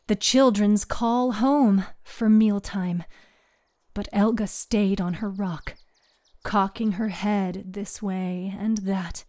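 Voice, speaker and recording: A woman narrates a scripted audio drama. Her voice is soft and mellow, at a middle pitch. She takes her time with each phrase. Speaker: narrator, writer and producer.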